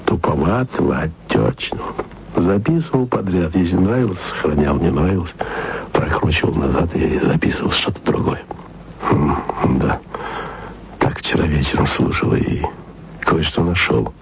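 An actor playing an aging émigré radio man in a radio play, speaking tenderly and confidingly in a half-whisper to his beloved. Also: normal speed. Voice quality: very deep, gravelly. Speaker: radio broadcaster leaving taped messages. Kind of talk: intimate radio play monologue.

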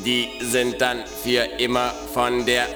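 A man playing a dour computer virus henchman who repeats his own phrases deadpan in a children's radio play. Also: medium pitch, slow pace, over music, close to an everyday voice. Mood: glum deadpan.

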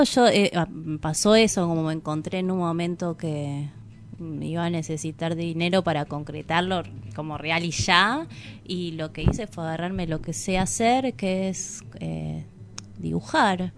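A woman with an Argentine accent chatting casually as an interview guest, animated in anecdotes and often correcting herself mid-thought. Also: lowish voice, measured pace, slight background noise. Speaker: interview guest, artist and singer. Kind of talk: casual chat in a radio interview.

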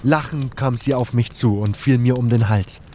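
A man's voice: mid-range voice, normal speed, warm tone, a little hiss or hum. A man reading scripted first-person narration for an audio drama.

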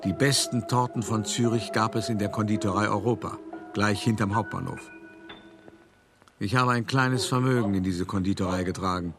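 An actor playing a self-important older art dealer who tells of his past in an expressive, articulate, wry monologue for a radio play. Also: fairly low pitch, moderate pace, music in the background, natural voice. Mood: wry narration. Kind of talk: theatrical first-person monologue, storytelling.

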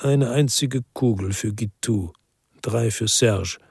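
Middle-aged ex-cop narrator in radio noir: rough-edged voice, dry sound, understated, world-weary delivery. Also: male voice, fairly low pitch, slow pace, a little mellow, dry recording, heavily acted. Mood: somber, brooding. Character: world-weary male ex-cop narrating his crime story. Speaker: narrator and lead character. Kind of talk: first-person crime radio drama narration.

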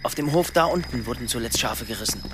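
A man's voice: mid-range voice, expressive, fast, music in the background, natural voice. A man playing a chatty, proud young Saudi host in naturalistic radio drama dialogue, familiar in manner. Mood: keen.

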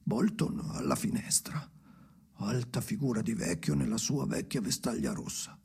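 An actor playing a brooding, haunted old storyteller in a radio play, hoarse and often near a whisper, speaking in halting, repeated fragments. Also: male voice, mid-range voice, sing-song, a little mellow, full character voice. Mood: anguished, fading. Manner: halting, fragmented, incantatory repetition. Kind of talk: slow dramatic radio play monologue.

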